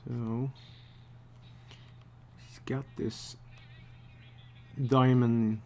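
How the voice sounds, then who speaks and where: A man giving a casual think-aloud commentary while he draws, muttering to himself in short, halting phrases. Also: mid-range voice, little pitch movement, darkish tone. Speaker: storyboard artist giving a drawing demonstration. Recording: faint background noise.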